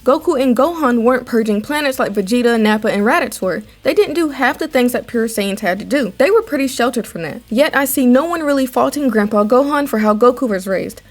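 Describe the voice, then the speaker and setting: A young woman hosting an opinion video as a voice-over, talking in a measured, conversational, explanatory way. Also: a little high, expressive, faint music behind. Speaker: anime commentary video host.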